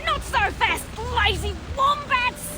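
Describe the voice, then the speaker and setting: A woman's voice: very high-pitched, lively intonation, takes it slowly, background noise, full character voice. A woman voicing a brash, taunting female mutant crocodile, a hoarding doomsday prepper in a cartoon video game.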